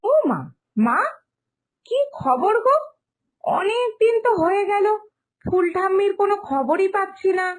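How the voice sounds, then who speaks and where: A woman playing a selfish, evasive young granddaughter in an animated moral story, whiny, impatient and complaining. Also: extremely high pitch, measured pace, long pauses, slight background noise. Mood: puzzled, wanting. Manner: fussy, complaining.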